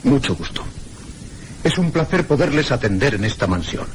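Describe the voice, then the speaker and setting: A man voices a distinguished duke in a mystery radio play, mid-pitched and expressive in intonation. He is gracious and formal, a courtly host with an air of mystery. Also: moderate pace, a little hiss or hum, close to an everyday voice. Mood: suave, self-assured. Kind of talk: Spanish radio mystery drama acting.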